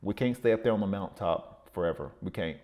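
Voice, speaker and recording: A man's voice: low-pitched, fast talker, dark tone. A man hosting a podcast, giving a solo motivational talk in a rambling way, with many fillers and repeated words. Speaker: podcast host.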